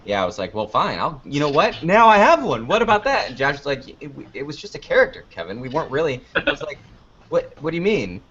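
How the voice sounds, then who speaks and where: A man's voice: fairly high pitch, big pitch swings, fast talker, fairly bright tone, breathy edge. A man giving casual interview answers in a joking, anecdotal way, acting out little exchanges as he goes. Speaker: podcast producer and video creator being interviewed. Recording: dull lo-fi audio.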